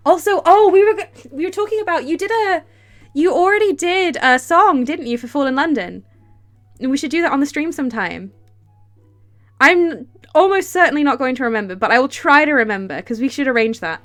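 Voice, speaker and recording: A woman streaming a game, chatting casually and reading its text aloud, excitable and quick to laugh, with a stuffy nose and sore throat from a cold. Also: faint music behind. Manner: excitable, laughs easily, bursts into high delight.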